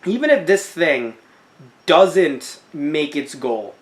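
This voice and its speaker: Young male podcast co-host, animated and jokey, very expressive pitch. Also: takes it slowly. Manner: animated, joking, with mocking put-on quotes. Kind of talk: casual chat about a crowdfunding campaign.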